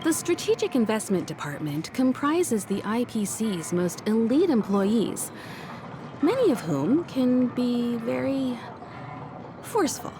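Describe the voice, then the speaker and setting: An actress voicing a poised, kindly woman navigator in a video game, composed and gently amused. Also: fairly high pitch, big pitch swings, measured pace, background noise. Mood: thoughtful. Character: poised, kindly adult female starship navigator.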